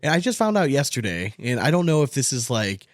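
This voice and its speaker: A man's voice: mid-range voice, sing-song, brisk, dry recording, studio quality. A man hosting a casual sports podcast, chatting in a blunt, sweary, slangy way. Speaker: podcast host.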